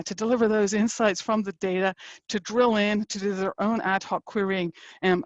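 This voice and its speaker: A middle-aged woman with an Irish accent gives a conversational company webinar presentation, filling pauses with uh and um. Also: medium pitch, dry recording, poor audio. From Ireland. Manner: conversational, frequent uh and um fillers. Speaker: engineering executive presenting a webinar.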